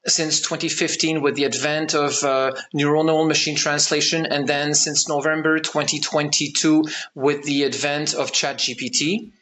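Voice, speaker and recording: A man hosting a live online event, speaking in a formal, measured way with frequent fillers. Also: a little high, flat delivery, a bit bright, slight background noise. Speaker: event host.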